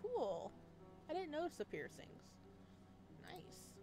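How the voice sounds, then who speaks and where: A woman doing a let's play, reading story text aloud and adding expressive, chatty reactions in a casual style. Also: high-pitched, slow pace, faint music behind. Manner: expressive, chatty asides between read passages.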